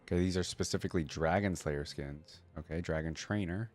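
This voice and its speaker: A man's voice: low-pitched, brisk, a little mellow. A man hosting a reaction video, commenting casually and musing aloud in an informal way. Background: faint music.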